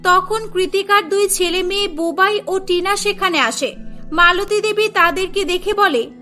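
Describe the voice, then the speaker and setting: A woman's voice: very high voice, a little slow, bright, forceful tone, faint music behind. A woman narrating a scripted cartoon story.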